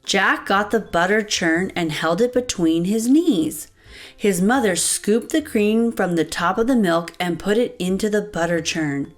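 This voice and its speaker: A woman's voice: mid-range voice, lively intonation, a little slow. A woman reading a picture book aloud to young children as host, expressively, addressing them directly. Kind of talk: animated read-aloud narration for young children. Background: light background music.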